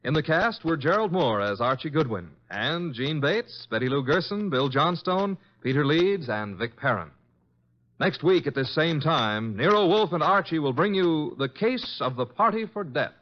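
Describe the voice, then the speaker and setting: A man's voice: a little high, animated, moderate pace. A man announcing scripted credits in the formal style of a 1950s radio programme. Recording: muffled vintage radio recording, music behind.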